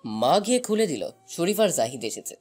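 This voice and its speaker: A man's voice: a little high, sing-song, moderate pace, darkish tone, slightly rough, faint music behind. A man playing a shy young college student, narrating a romantic story in the first person.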